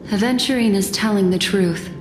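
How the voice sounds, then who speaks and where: A woman voicing a poised, mysterious keeper of memories in a video game, speaking in a measured, enigmatic way. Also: medium pitch, lightly acted. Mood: cool, knowing. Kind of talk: dramatic video game voice acting. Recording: echoey reverb, music underneath. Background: game music.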